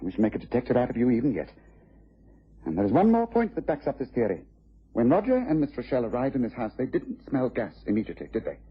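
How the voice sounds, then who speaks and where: An actor playing a shrewd, commanding English detective in a vintage radio drama, speaking precisely and with courteous authority. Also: male voice, mid-range voice, wildly swooping pitch, fast, husky, full character voice. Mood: confident, explanatory. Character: shrewd, commanding gentleman detective, methodical and confident. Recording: muffled old radio drama recording.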